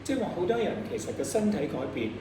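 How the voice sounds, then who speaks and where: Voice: medium pitch, big pitch swings, very fast. A debate coach with a Hong Kong accent, explaining points to students and posing questions as they go. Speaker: debate coach teaching a class. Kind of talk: interactive lesson, explaining debate rebuttals. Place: echoey room, distant from microphone. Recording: echoey room from far off, noisy.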